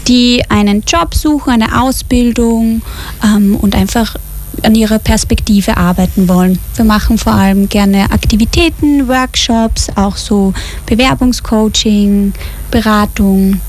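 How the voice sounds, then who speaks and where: A young Austrian woman, a project coach, explaining her work clearly as a guest on a radio show. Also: medium pitch, a little slow, darkish tone. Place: radio studio.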